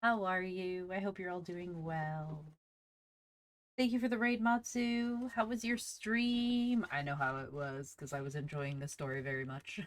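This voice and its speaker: A woman's voice: on the low side, little pitch movement, unhurried. A woman hosting a casual livestream, chatting to viewers in a bubbly, enthusiastic manner. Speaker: livestream host, VTuber; teacher.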